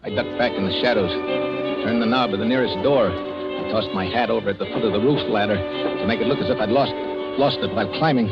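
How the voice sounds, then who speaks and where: An actor as a hard-boiled American fugitive, old radio sound. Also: male voice, fairly low pitch, a little fast, close to an everyday voice. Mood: tense, narrating. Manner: clipped, tense first-person storytelling. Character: desperate hard-boiled American man on the run, framed. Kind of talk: 1940s radio acting, noir narration. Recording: muffled old radio recording, music behind.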